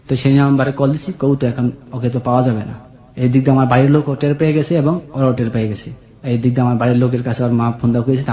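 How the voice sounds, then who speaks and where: A man's voice: mid-range voice, warm tone. A man with a colloquial Bangladeshi accent telling his own life story as a guest, earnest and plaintive. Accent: Bangladeshi, colloquial regional. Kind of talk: personal confessional storytelling. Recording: roomy echo on the voice.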